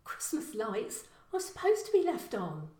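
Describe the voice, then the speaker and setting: A woman's voice: fairly high pitch, wildly swooping pitch, a little slow, mellow, roomy sound, voice pushed up. A woman voicing a mild, weary, good-natured middle-aged man in a story, plain-spoken. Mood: friendly.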